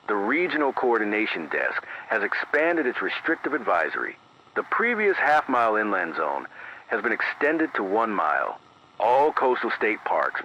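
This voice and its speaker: A man reading a scripted emergency radio news bulletin in a measured, deliberate, grave manner, through an AM radio filter. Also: on the low side, bright, forceful tone. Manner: measured, deliberate, grave, clipped official phrasing. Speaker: radio news anchor in a fictional emergency broadcast. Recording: muffled AM radio sound, background static.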